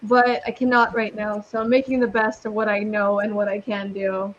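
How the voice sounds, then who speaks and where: A woman's voice: medium pitch, little pitch movement, normal speed, muffled recording, slight background noise. A young woman from California with a Californian American accent, tutoring English over a video call in a chatty, upbeat way with casual slang. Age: young adult.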